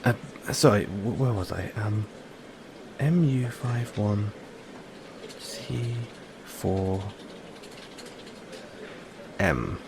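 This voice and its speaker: A man with a British accent acting in an audio roleplay as a kindly, anxious, furtive android owner, speaking haltingly with stammers. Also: fairly low pitch, animated, creaky, noisy background, full character voice. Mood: nervously polite.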